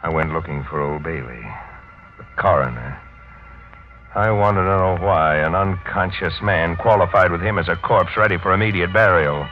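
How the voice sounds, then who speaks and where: An actor playing a haunted, tough sailor in a 1940s radio mystery, hard-boiled and insistent. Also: male voice, low voice, moderate pace, music in the background, close to an everyday voice. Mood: grim narration. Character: haunted tough sailor back from apparent death. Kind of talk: 1940s radio mystery acting, first-person noir. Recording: dull old radio recording, noisy.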